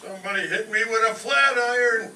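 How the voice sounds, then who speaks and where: A man's voice: high-pitched, a little fast, pushed and bright. A man playing a dazed, long-suffering, groaning doctor in an old-time radio comedy on stage. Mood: dazed, pained. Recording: echoey stage from far off, faint noise.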